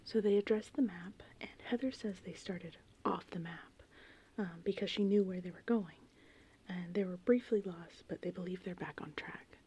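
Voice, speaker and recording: A woman host speaks in a hushed, breathy ASMR whisper with a mellow, dark tone. She rambles casually, as if retelling a story in conversation. Gentle rain and thunder sit faintly behind her voice. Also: lowish voice, normal speed. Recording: faint background noise, rain and thunder ambience. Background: gentle rain and thunderstorm ambience.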